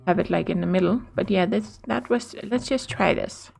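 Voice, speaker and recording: A chatty Danish woman talks through a relaxed gaming session, upbeat and quick to laugh. Her voice has a gravelly edge, with faint noise behind it. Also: mid-range voice. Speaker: Minecraft teacher and co-host. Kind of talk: casual gaming chat.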